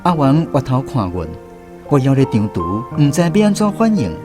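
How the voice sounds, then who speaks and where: An actor playing a reflective former soldier, narrating a radio drama expressively with a Taiwanese accent. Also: male voice, mid-range voice, moderate pace, over music, natural voice. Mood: tense recounting. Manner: expressive storytelling.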